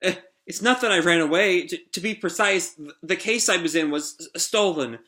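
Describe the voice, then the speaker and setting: A man voicing a small timid robot from a comic, stammering and pleading in a halting way. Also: pushed and bright. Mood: nervous. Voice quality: high, stammering.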